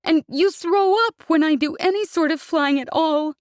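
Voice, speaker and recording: A woman's voice: on the high side, expressive, unhurried, a little mellow, breathy edge, dry recording, clean studio sound, voice pushed up. A woman voicing a young woman pilot who is crying and swearing at him in anger and fear. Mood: furious, sobbing. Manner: angry, sobbing, swearing.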